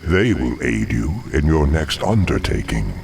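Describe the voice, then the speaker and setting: Very deep, gravelly scheming demon lord, wide-swinging, smug, game cutscene with score behind. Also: male voice, very animated, a little mellow, heavily acted. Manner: slow, condescending, gravelly. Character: menacing male demon dreadlord, scheming and smug. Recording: game cutscene over orchestral music.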